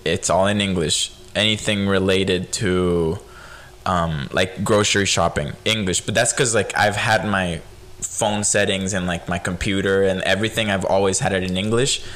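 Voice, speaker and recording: A young man hosting a podcast, a native Spanish speaker with a light Spanish accent, chatting in a relaxed, rambling way with lots of fillers. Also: low voice, normal speed. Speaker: podcast host. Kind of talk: casual chat.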